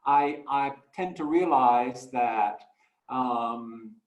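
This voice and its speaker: A man walks listeners through his own artworks, pausing often, repeating words and searching for the next phrase. His voice sits in a middle pitch and sounds roomy, with a clear echo around it. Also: lo-fi sound. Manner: halting, many fillers and restarts. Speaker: guest artist. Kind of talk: presenting artwork in a talk.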